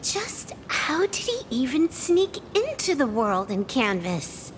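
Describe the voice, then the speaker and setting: An excitable girl sidekick in an anime-style game. She talks high and bouncy, incredulous and chatty, with her pitch leaping all over. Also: slow pace, slight background noise, heavily acted. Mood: puzzled. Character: lively, puzzled young girl companion, excitable.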